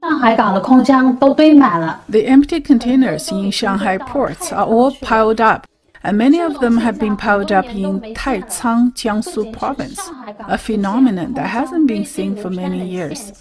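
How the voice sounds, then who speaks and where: Young female presenter, slightly high voice, clear explanatory read to camera at home. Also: lively intonation, measured pace, crowd noise. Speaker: news presenter. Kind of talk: explanatory news commentary. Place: indoors, home room.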